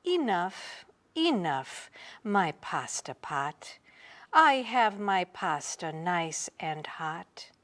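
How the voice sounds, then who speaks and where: A woman's voice: mid-range voice, a bit bright, exaggerated voice. A woman voicing an old storybook village witch, kindly but bossy, chanting and giving firm instructions in a singsong way. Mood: singsong, content.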